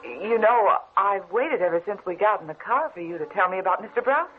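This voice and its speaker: A woman's voice: mid-range voice, lively intonation, a little fast, lightly acted. An actress playing a tender, anxious young woman in love, with earnest, emotional old radio melodrama delivery. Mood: hopeful, relieved. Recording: tinny, hissy old radio recording.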